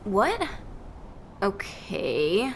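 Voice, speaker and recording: An exasperated young squad member from a video game. Her mid-pitched voice is casual and sarcastic, always ready to gripe. There is a faint rumble behind it. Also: wildly swooping pitch, very slow, creaky, full character voice. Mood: exasperated, wry. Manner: casual, griping.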